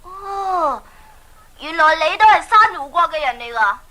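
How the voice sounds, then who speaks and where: A woman's voice: extremely high pitch, expressive, a little slow, sharp tone, full character voice, rough recording. A woman with a Hong Kong Cantonese accent playing a brave, humble young male hero in a children's radio drama. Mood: grateful, earnest.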